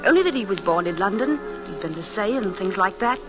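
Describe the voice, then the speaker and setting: An actress playing a deferential, gossipy housemaid, chatty and respectful, in old-time radio drama style. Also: female voice, medium pitch, expressive, fairly quick, music in the background, close to an everyday voice, poor audio. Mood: confiding. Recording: vintage radio broadcast recording.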